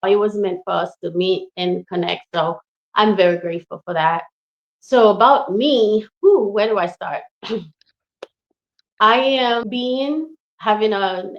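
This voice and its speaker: A woman in her thirties from Haiti talks as an interview guest in a casual, personal style. Her voice is medium in pitch and her manner is effusive and expressive. The recording sounds a little dull and lo-fi. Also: a little slow. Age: 30s.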